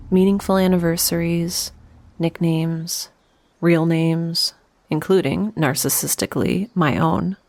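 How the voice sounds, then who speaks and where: A woman's voice: on the low side, creaky, darkish tone, heavily acted. A woman playing a sleepy, wry young woman in space, rambling confidingly into an audio diary for a science fiction podcast drama. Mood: frustrated, tired. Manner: rambling, confiding, self-mocking.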